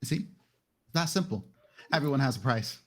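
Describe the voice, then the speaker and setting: A man's voice: medium pitch, expressive, moderate pace. Man in casual improvised roleplay. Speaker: tabletop roleplay player.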